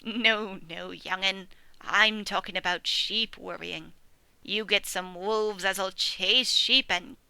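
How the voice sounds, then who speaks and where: Woman putting on a slow, drawling old ewe. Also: medium pitch, fairly even intonation, no room sound, heavily acted, low-quality recording. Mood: grumbling. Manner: slow, drawling rustic speech with soft breathy murmurs. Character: old ailing female ewe, mournful and gossipy. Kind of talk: storybook reading.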